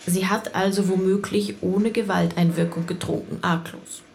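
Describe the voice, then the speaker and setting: A controlled, matter-of-fact police inspector, played by a woman in a radio drama. Her mid-pitched voice has heavy creak, and she lays things out in measured phrases. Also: fairly even intonation, darkish tone, close to an everyday voice. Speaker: voice actor in an audio drama. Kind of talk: German radio play acting.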